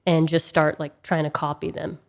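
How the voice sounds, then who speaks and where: Woman, low voice, animated storyteller, casual interview guest, thirties. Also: moderate pace, creaky. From Russia. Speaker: guest musician. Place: music studio.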